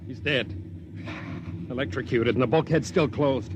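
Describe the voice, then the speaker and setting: A man's voice: mid-range voice, moderate pace, natural voice. An actor playing a grim spaceship crewman with a terse, blunt way of talking in a 1950s radio drama. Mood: tense. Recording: dull, noisy 1950s radio recording.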